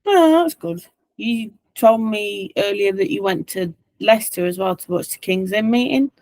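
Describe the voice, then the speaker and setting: A woman with a hoarse voice, hosting a podcast in casual chat. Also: mid-range voice, lively intonation, moderate pace, a little mellow, no echo, rough recording. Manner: chatty, asks questions. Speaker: podcast host.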